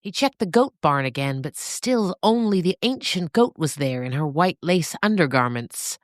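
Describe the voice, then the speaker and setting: A woman reading a scripted story as narrator, with dramatic, suspenseful and deliberate phrasing. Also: sits low, lively intonation, no echo, clean studio sound.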